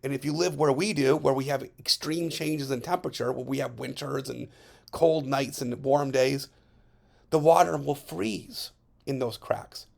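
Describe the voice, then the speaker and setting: A male science teacher narrating a slide lesson in a chatty way, checking in with students and saying his voice is still recovering from hoarseness. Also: mid-range voice, fairly even intonation, unhurried. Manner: chatty, checks in with frequent "okay?". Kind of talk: recorded classroom lesson over slides.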